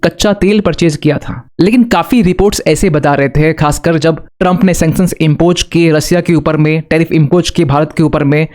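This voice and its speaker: A man's voice: fairly high pitch, brisk, hardly any pauses, darkish tone. A man presenting explanatory current affairs commentary in a didactic way, mixing in English terms. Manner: didactic, addresses viewers with rhetorical questions.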